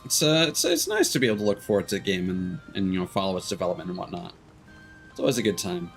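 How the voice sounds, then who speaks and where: Low-pitched, animated male game streamer. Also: fast talker, gravelly, faint music behind. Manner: hesitant, rambling, frequently trailing off. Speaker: video game livestreamer. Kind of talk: casual chat with stream viewers.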